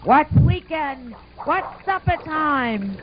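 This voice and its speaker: A woman's voice: sits high, lively intonation, takes it slowly. A woman voicing a wild, blunt young tyrannosaurus in a puppet show, scornful and full of questions. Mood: puzzled. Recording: muffled, noisy amateur puppet show recording.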